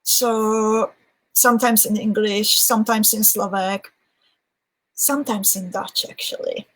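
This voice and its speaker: A woman's voice: medium pitch, fairly even intonation, a little slow, rough recording. A young woman with a Hungarian accent chatting on a live stream, thinking aloud with restarts and reading out viewer comments. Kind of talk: casual live-stream chat.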